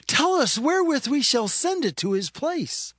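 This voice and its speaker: A man's voice: very high voice, sing-song, moderate pace, a bit bright, dry recording, studio quality, full character voice. A man playing an anxious ancient lord asking for guidance, declaiming in a dramatized scripture reading. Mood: anxious questioning.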